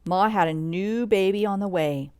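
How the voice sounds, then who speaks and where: A woman reading a children's bedtime story aloud in an expressive read-along style, sometimes commenting as she goes. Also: medium pitch, normal speed, dry recording, clean studio sound. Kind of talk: bedtime story read-along narration with asides about pictures.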